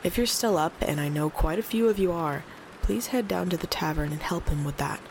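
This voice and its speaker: An actress playing a calm, casual nighttime radio host with a creaky voice in an audio drama, reporting on creature sightings. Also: female voice, lowish voice, fairly quick, slight background noise, poor audio.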